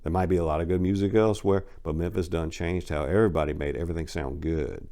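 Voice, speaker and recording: A laid-back crew leader from a Southern crime tale played by a male narrator. His voice is low and creaky, his talk folksy and persuasive, in an accent of the American South. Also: steady tone, fast talker, mellow. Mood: confiding, easygoing.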